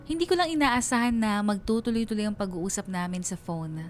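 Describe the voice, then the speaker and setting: Young female storyteller, melancholy, sentimental, confiding radio drama narration, medium pitch, music underneath acted by a woman. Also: a little fast, natural voice. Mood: troubled.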